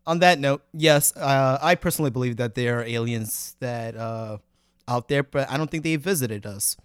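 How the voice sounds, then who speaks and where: A man's voice: medium pitch, normal speed, smooth, dry recording, clean studio sound. A man hosting a comedy podcast in casual, mock-earnest banter. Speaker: podcast host.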